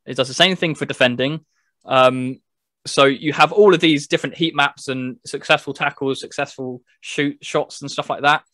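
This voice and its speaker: A man's voice: mid-range voice, steady tone, normal speed, pushed and bright, dry recording. Man casually explaining things, dry sound. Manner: explanatory, step-by-step. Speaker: podcast co-host reviewing a video game. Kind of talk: casual chat.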